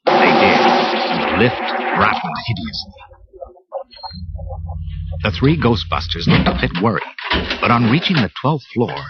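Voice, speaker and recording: A man narrating a read-along storybook in a lively, expressive way. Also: fairly low pitch, a little slow, pauses often, heavy background noise. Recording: muffled cassette with music bed.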